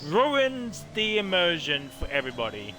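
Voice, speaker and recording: A man commentating a live online racing league, reacting with quick exclamations and chatty asides. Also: sits high, wildly swooping pitch. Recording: race game engine noise behind voice.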